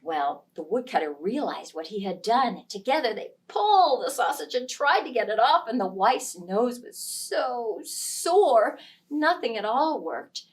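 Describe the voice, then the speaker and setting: Female children's storyteller, expressive and very varied in pitch, leaning high, recorded slightly off-mic. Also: a little slow, recorded from a distance. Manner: expressive, dramatic delivery with emphasized key words. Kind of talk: oral storytelling for young children.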